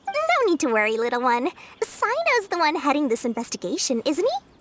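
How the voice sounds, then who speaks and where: High-pitched, money-loving little merchant. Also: female voice, huge pitch swings, fairly quick, a bit bright, exaggerated voice. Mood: smug, greedy. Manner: wheedling, theatrical sales patter. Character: small, shrewd, money-loving female merchant, theatrical salesperson. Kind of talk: video game voice acting. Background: music.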